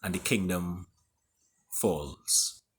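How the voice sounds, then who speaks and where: A man with a Caribbean accent reading his own story aloud in a theatrical way, with deliberate pauses. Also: low voice, husky. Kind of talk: dramatic storytelling.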